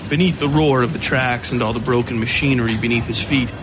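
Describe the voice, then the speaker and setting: A man's voice: medium pitch, steady tone, fast talker, background noise. A man reading scripted, dramatic narration for a horror fiction podcast. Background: music.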